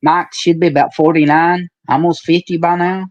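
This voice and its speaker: A man's voice: fairly high pitch, level tone, normal speed, highs cut off. A man in his forties chatting casually and telling stories in a folksy, colloquial way as a retired wrestler on a podcast. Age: 40s. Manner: folksy storyteller with colloquial rural phrasing. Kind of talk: casual reminiscing chat.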